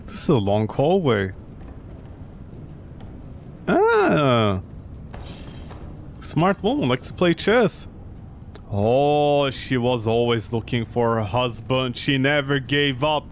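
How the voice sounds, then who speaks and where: A man's voice: mid-range voice, a little hiss or hum. A man giving casual, sarcastic and incredulous commentary as he streams a video game.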